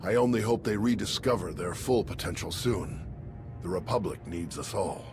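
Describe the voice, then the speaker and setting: An actor playing a grave, concerned warrior-monk master in a video game, speaking in a measured, formal way. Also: male voice, lowish voice, natural voice. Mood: solemn concern. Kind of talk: video game dramatic acting. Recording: music under the voice.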